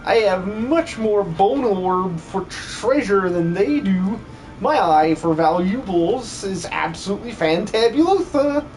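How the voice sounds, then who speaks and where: High, gushing little game creature. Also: male voice, lively intonation, slow, darkish tone, soft background music, poor audio. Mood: apologetic, boastful. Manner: gushing, theatrical. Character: excitable, grateful, treasure-loving little creature, squeaky and gushing. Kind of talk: video game fan dub.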